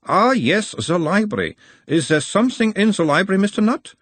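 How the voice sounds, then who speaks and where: A man voicing a calm male hypnotist who questions in a mild Uberwaldian accent, slow and insistent. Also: high voice, breathy edge, dry recording, pitched above the performer's own voice, full character voice. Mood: coaxing.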